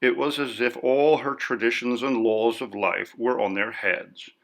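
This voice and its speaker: A man reading a story as scripted narration in a measured, literary delivery. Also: medium pitch, steady tone, gravelly, fairly bright tone, rough recording. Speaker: audiobook narrator.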